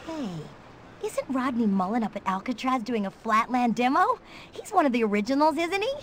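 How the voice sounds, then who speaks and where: An actress playing a lively, eager young skater and comic artist in a video game cutscene. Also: female voice, fairly high pitch, normal speed, a little hiss or hum. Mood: curious. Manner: eager, expressive. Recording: game audio with music behind. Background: game music.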